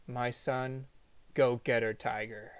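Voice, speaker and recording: A man's voice: mid-range voice, flat delivery, slow pace, clear, resonant tone, no echo, voice pushed down, natural voice. A man voicing a proud, tender dead father speaking to his son in a dramatized story. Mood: proud, hushed.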